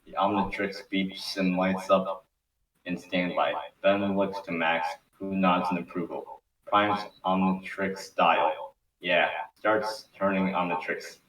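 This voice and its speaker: Deep-voiced man narrating in an echoey room. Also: steady tone, slow, fairly bright tone. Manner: informal, profane, stumbles over words. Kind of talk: reading script narration at casual table read. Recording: echoey room, distant mic, background noise.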